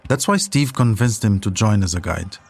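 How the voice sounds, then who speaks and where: A man's voice: fairly low pitch, expressive, moderate pace, gravelly, breathy edge. A man delivering scripted documentary narration as the storyteller of a podcast.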